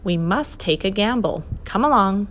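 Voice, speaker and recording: A woman voicing a courteous male professor in a storybook reading, speaking briskly and decisively. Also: medium pitch, animated, a little hiss or hum, close to an everyday voice.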